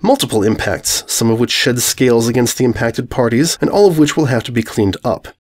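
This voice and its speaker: A man's voice: medium pitch, fairly quick, lightly acted. A man voicing a stern, haughty pony princess who speaks formally and with sarcasm in a storybook reading.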